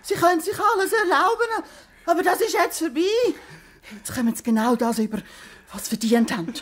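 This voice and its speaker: A bitter, ailing fairy-tale stepmother with an extremely high voice and a Swiss German accent. She scolds and complains spitefully, as in a radio play for children. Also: brisk. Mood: spiteful ranting.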